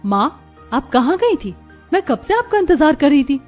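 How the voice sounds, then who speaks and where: A woman voices a spoiled young college girl from a wealthy home in a cartoon tale. She sounds breezy and self-assured, pitched fairly high, with music behind her. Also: big pitch swings, normal speed, darkish tone. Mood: defensive. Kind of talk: cartoon story dialogue.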